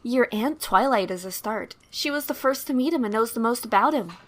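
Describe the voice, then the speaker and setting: Casting: woman to play caring, cautious pony royal mom for cartoon audio drama; slightly high register, animated intonation. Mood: friendly. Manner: gentle, motherly, measured.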